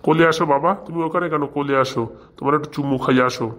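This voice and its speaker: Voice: mid-range voice, fairly even intonation, racing, fairly bright tone, lightly acted. An actor voicing an exasperated, scolding village schoolmaster in a comic cartoon, sarcastic and rustic, with a rural Bengali dialect. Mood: doting.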